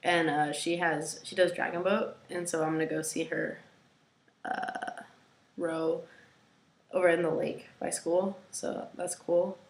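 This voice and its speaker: Raspy, gravelly young woman in echoey room. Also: lowish voice, flat delivery, a little slow. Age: young adult. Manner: hesitant, rambling, many fillers, drops to a near whisper at times. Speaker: vlogger. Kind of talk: casual personal video diary. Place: at home, indoors.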